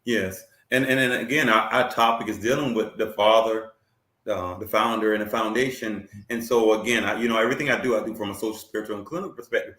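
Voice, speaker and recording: A male talk show host with a low voice, sounding a bit roomy as it echoes around him. He speaks haltingly and often repeats a word while he looks for the next one. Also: sharp tone.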